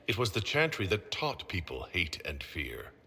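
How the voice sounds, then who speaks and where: A man playing a measured, diplomatic leader in a video game cutscene, calm and firm. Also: low voice, slightly rough, exaggerated voice. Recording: faint ambient noise.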